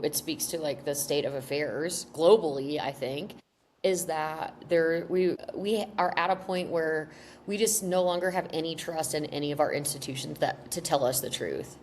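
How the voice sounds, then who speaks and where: A woman co-hosting a comedy podcast, animated and rambling, blunt and quick to swear. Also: low voice, slight background noise. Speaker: podcast co-host. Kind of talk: comedic casual chat.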